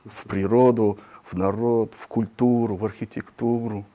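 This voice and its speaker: A man's voice: lowish voice, fairly even intonation, normal speed, warm tone. A man hosting an interview, speaking in a formal, announcer-like way. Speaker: interview host.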